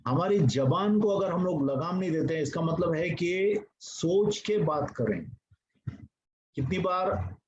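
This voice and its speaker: A man's voice: a little high, a little mellow. Earnest preaching man, dull lo-fi sound. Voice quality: mixes English phrases into speech. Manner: earnest, repetitive, addresses congregation directly. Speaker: pastor. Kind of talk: sermon teaching. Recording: dull lo-fi recording with faint noise.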